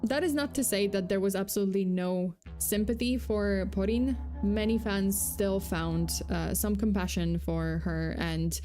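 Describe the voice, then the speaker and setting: A young woman with a touch of a Polish accent talks through a true-crime story on a livestream. Her delivery is loose and chatty, packed with fillers, restarts and asides, at an ordinary middle pitch. Faint background music sits under her voice. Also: unhurried, few pauses, mellow. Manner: chatty, full of fillers and self-corrections, reads chat aloud. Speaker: VTuber host.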